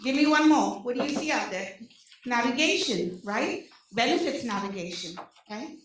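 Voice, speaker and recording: A woman with an Indian accent gives an animated talk to a room of service providers, full of rhetorical questions and frequent "okay?" check-ins. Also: a little high, moderate pace, slight background noise. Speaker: nonprofit program presenter. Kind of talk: energetic public talk to an audience. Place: large meeting room. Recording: echoey hall, speaker far from mic.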